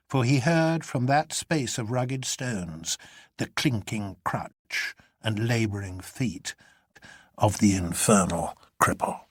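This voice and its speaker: Male narrator, for classic fiction audiobook. Highly varied intonation, husky edge, measured dramatic delivery. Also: dry recording, clean studio sound. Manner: expressive, measured storytelling. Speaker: narrator reading a novel.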